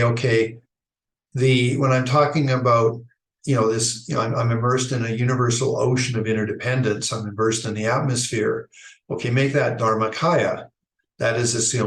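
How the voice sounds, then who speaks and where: Reflective man in an echoey room. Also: mid-range voice. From Idaho, United States. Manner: thoughtful, halting, with frequent restarts and fillers. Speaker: Buddhist teacher. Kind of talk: unscripted dharma talk.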